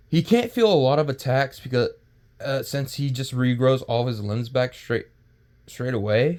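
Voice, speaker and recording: A man hosts his own video with loose, unscripted commentary at a middling pitch. He talks in a halting, rambling way, tripping over words and restarting his sentences. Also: darkish tone. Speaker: video creator and host. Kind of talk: casual unscripted power-scaling commentary.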